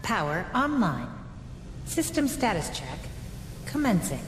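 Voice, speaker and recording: An actress voicing a polished, impassive space station computer in a video game, formal and corporate over a public address system. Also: female voice, mid-range voice, sing-song, unhurried, noisy background. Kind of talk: automated station announcement. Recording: echoing hall reverb, music behind.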